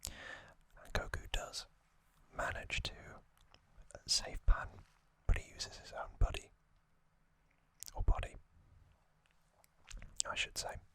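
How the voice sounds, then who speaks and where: Slow, whispering male ASMR host. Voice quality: breathy unvoiced whisper. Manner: gentle, unhurried, halting phrases. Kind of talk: whispered ASMR plot recap. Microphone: close ASMR microphone.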